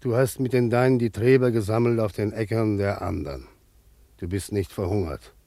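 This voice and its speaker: An actor playing a grave, steadfast peasant storyteller in a radio drama, with a solemn, measured biblical cadence. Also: male voice, lowish voice, mellow, no room sound, lightly acted.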